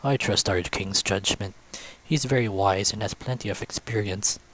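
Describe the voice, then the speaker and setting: A man's voice: lowish voice, normal speed, fairly bright tone. A man voicing an easygoing, earnest young furry guard captain in a visual novel.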